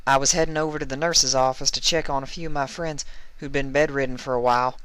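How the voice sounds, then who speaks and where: Gentle injured pony girl for a story reading, played by a man; lifted pitch, weak and folksy, comforting. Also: steady tone, quick pace, clean tone, no echo, lightly acted. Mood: pained, reassuring. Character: Ruby, gentle wounded young female pony, weakly reassuring.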